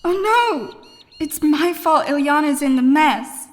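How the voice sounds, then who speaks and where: A woman's voice: high-pitched, animated, slow. An actress playing an earnest, anxious but plucky teenage girl hero in an audio drama. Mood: distress.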